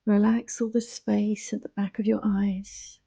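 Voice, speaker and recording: A woman guiding a yoga nidra relaxation in a soothing, hushed, unhurried manner, close to the microphone. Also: medium pitch, flat delivery, creaky, slightly rough, dry recording. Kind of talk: guided relaxation meditation.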